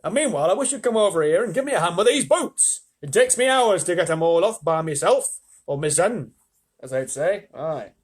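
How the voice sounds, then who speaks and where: A man voicing a boastful male centipede with a put-on Northern English accent, loud and swaggering. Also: high-pitched, animated, fairly quick, slightly rough, pitched above the performer's own voice. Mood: cheery. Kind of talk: storybook read-aloud voice.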